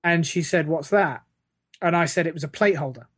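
A man telling comic stories casually on a podcast, in a halting, self-mocking, sweary way. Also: on the high side, fairly bright tone, dry recording, studio quality. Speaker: podcast co-host.

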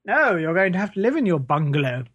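A man's voice: high voice, sing-song, fast talker, a bit bright, slightly rough, no room sound, muffled recording, full character voice. A man with a British accent playing a shifty, menacing, shameless parish reverend in an improvised comedy sketch, hesitant, evasive and falsely polite. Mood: blithe, rambling.

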